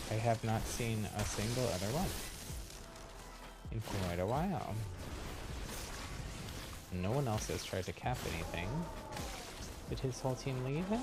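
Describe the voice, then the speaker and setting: A man casually commentating on his gameplay as a streamer, with playful mock exasperation. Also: mid-range voice. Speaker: game streamer. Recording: loud game music behind voice. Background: loud game music.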